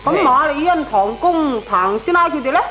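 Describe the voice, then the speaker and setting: High-pitched, bustling Hong Kong auntie. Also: female voice, lively intonation, moderate pace, pushed and bright. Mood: bustling, cheerful. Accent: Hong Kong Cantonese. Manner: calling out, exclamatory. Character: bustling, generous, outgoing neighbour auntie. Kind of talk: comic radio drama acting. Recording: echoey old radio drama recording.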